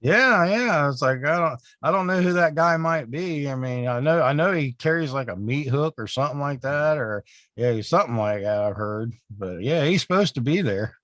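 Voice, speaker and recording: A man co-hosting a podcast in casual chat, with a raspy voice, sounding worn out and rambling with lots of fillers. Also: a little high, lively intonation, moderate pace, a bit bright, no room sound, studio quality. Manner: rambling, full of "you know" fillers. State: exhausted, worn out. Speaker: podcast co-host.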